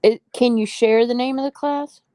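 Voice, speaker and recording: A woman's voice: on the high side, fairly even intonation, moderate pace, warm tone, smooth. A woman helping with an art tutorial, reading out viewer questions and chiming in with short remarks. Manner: brief, conversational interjections. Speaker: helper reading chat questions.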